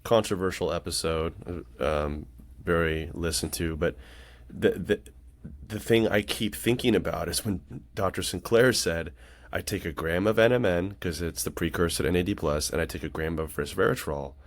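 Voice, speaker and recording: Deep-voiced man in casual conversation. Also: moderate pace. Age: late 30s. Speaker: podcast conversation partner. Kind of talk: casual podcast chat.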